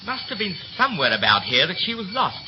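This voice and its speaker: Young ship's officer, slangy and scornful, swoops about a bit high, muffled old radio drama. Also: male voice, wildly swooping pitch. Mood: bitter, indignant. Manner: brisk, breezy, clipped slangy speech. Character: young ship's third officer, chatty, scornful, bitterly resentful. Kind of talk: 1930s radio play acting. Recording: muffled, hissy 1930s radio recording.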